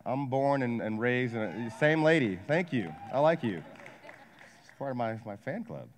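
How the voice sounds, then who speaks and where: A man in his forties from Ohio, giving an energetic motivational seminar talk and asking a live audience questions. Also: medium pitch, moderate pace, slight background noise. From Ohio, USA. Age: 40s. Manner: energetic, asks the crowd questions, jokes. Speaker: wealth advisor and coach giving a seminar talk. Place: large hall with a live audience. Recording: long hall reverb.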